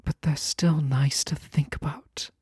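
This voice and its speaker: Slow-spoken, lovestruck fantasy nobleman, played by a man. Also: a little high, fairly even intonation, darkish tone, husky, no room sound, in a whisper, clean studio sound. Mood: wistful, longing. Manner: halting, tender, formal old-fashioned phrasing. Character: lovestruck fantasy nobleman, wistful, teasing, formerly held captive. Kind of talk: romantic audio roleplay acting.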